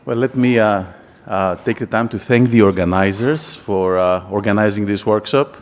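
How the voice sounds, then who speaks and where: Professor lecturing in an echoey hall. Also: male voice, lowish voice. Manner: measured, explanatory, with frequent fillers. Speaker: invited speaker and professor. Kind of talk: academic lecture. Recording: echoey hall with faint ambience.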